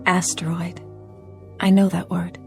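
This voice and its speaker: A woman's voice: lowish voice, flat delivery, a little slow, vocal fry. An actress in a radio drama playing a curious, innocent humanlike robot woman with a polite, literal manner. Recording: music behind.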